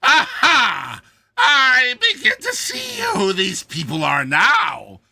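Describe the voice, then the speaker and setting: Maniacal dwarf jester, very high shrill screaming, piercing, mocking, drawn-out words, vengeful, storybook drama. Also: male voice, wildly swooping pitch, vocal fry, pushed and bright, heavily acted. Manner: drawn-out, mocking, theatrical delivery.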